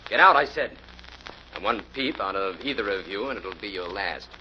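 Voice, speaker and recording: A man acting as a menacing gangster boss from an old radio thriller. He talks tough and sneers his threats with lively swings of pitch. The recording is dull and hissy with age. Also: normal speed, lightly acted. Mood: hard, commanding. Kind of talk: 1940s radio crime drama acting.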